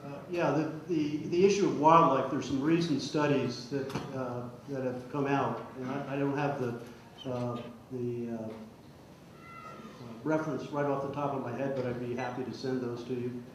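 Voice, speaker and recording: Halting man in a very echoey hall. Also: medium pitch, fairly even intonation. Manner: halting, hesitant, repeating words. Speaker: panelist at a public forum. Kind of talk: answering audience questions off the cuff. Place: public meeting hall. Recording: muffled, very echoey hall, faint noise.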